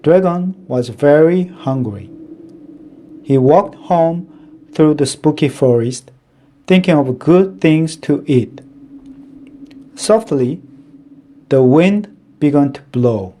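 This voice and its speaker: A man reading a children's picture book aloud in a deliberate, dramatic storytelling manner. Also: a little high, lively intonation, mellow.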